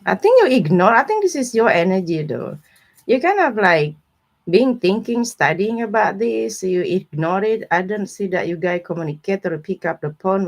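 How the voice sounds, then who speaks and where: A woman with informal, non-native English phrasing, chatting casually with viewers as she gives a live tarot reading. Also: on the low side. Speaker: tarot reader hosting a live stream.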